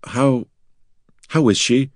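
Jittery nobleman, quavery timid voice, bit higher than the narrator, man doing it. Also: slow, dry recording, clean studio sound, natural voice. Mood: nervous. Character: nervous young male nobleman.

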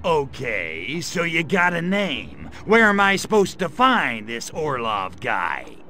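A man voicing a sarcastic gray alien invader in a video game cutscene, delivering deadpan quips. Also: on the high side, slow, noisy background, exaggerated voice. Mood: sardonic.

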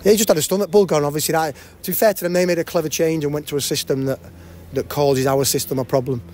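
Fast-talking football manager. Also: male voice, fairly high pitch. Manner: fast, animated, frequent restarts and repeated words. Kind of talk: post-match interview. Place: outdoors by a cafe banner at a stadium. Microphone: handheld interviewer mic.

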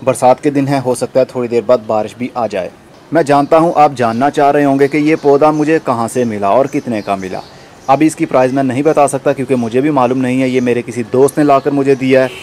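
A man's voice: mid-range voice, a little fast, a little mellow. Man giving a gardening tutorial, outdoor noise. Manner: explanatory, instructing the viewer step by step. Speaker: gardening channel host. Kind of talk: informal how-to gardening tutorial narration.

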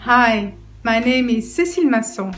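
A woman's voice: a little high, expressive, moderate pace, roomy sound, faint music behind. Cheerful woman from Switzerland. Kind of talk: short self-introduction to camera.

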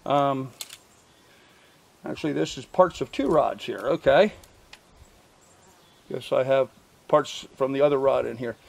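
A man's voice: medium pitch, a little slow, vocal fry, pauses often, darkish tone. An older man casually showing his fishing gear outdoors, rambling with lots of ums and uhs and correcting himself, picked up by a camera a few feet away. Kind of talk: casual show-and-tell. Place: outdoors by a truck in a field. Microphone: camera mic from a few feet.

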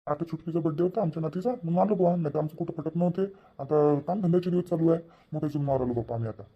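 A man's voice: fairly high pitch, very fast, husky, dull audio. A man voicing a plain, hardworking farmer grandfather in a cartoon, with a Vidarbha Marathi accent. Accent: Vidarbha Marathi (Varhadi). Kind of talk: cartoon family drama dialogue.

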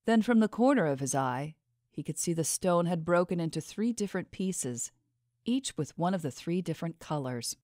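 A woman's voice: on the low side, lively intonation, moderate pace, darkish tone, no room sound, studio quality. A woman narrating a fantasy novel as a scripted audiobook reading.

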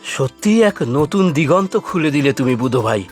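Male actor as talkative warm villager, high voice, emphatic, folksy cartoon, faint music behind. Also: animated, fairly quick, natural voice. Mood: grateful. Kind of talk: Bengali cartoon voice acting.

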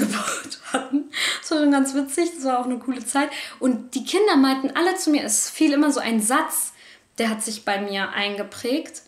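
Candid young woman in an echoey room. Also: sits high. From Germany. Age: young adult. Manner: candid, animated, gestures a lot. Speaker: vlogger. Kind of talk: personal storytelling to camera. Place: living room, on a sofa.

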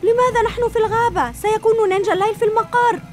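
A woman voicing a six-year-old boy hero in a cat costume, earnest and quick. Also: very high-pitched. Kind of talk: Arabic cartoon dub. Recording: studio dub with loud music.

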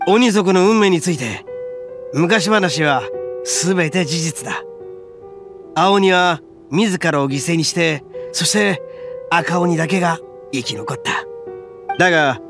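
An actor voicing a loud, brash young oni gang leader in a game, swaggering and rough-talking but big-hearted. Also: male voice, on the high side, slow, pauses often. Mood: explaining. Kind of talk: game voice acting. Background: game music.

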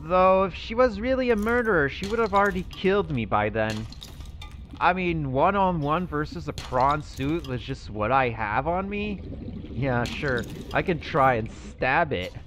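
A man's voice: a little high, expressive, a little slow, fairly bright tone, a little hiss or hum. A man thinking aloud in character while he plays a survival game, musing and hesitant as he goes. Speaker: roleplay gaming channel host.

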